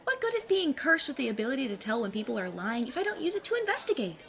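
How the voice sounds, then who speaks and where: A woman's voice: high voice, very animated, fast, creaky, a bit bright, full character voice. A woman playing a plucky, cocky fifteen-year-old girl in an audio drama, self-assured and formally worded. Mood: stubborn, earnest.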